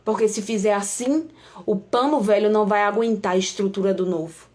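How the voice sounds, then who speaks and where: A woman's voice: mid-range voice, a little fast, a little mellow. A woman preacher with a Brazilian accent, giving devotional preaching and prayer in an emphatic, repetitive way, often calling on God.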